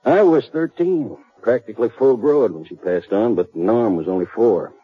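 A man's voice: medium pitch, normal speed, slightly rough, natural voice. An American actor playing a weary, responsible cattleman and older brother in a 1950s radio western, with plain, rustic speech. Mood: bitter, troubled. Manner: rustic, folksy grammar. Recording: muffled 1950s radio broadcast, faint music.

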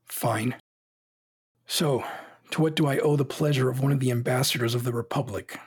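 A man acting a proud young male emperor in an audio drama, bitter with grief, curt and haughty in a husky voice. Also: mid-range voice, fairly even intonation, moderate pace. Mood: haughty, annoyed. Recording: music under the voice.

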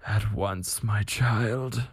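Man acting sickly armored warlord, dark story villain, husky wheeze, slow menacing commands, low. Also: fairly even intonation, no room sound, studio quality, exaggerated voice. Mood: sinister coaxing. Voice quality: wheezing, choked, gravelly.

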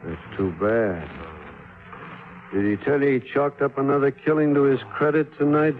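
An actor playing a menacing, impatient robber in a 1950s radio crime drama, curt and threatening. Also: male voice, mid-range voice, moderate pace, a little hiss or hum, lightly acted. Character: menacing, impatient male robber hunting stolen money. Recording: tinny narrow 1950s radio recording.

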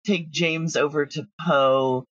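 Husky, chatty woman in a casual interview. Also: low voice, steady tone, slow, dry recording, dull audio. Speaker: guest, audio drama creator.

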